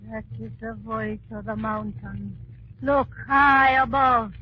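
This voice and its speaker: A menacing jungle woman, slow deliberate warnings, muffled noisy old 40s radio show. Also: a little high, level tone, breathy edge. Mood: ominous. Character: menacing native jungle woman guarding a dark secret. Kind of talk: 1940s radio acting.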